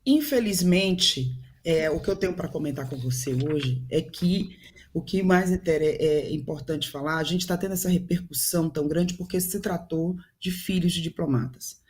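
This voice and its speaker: A woman's voice: deep voice, moderate pace, darkish tone. A woman from Salvador with a Bahian accent, commenting on a studio panel in an earnest, firm way with some hesitations. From Salvador, Bahia, Brazil. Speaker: businesswoman, commentator.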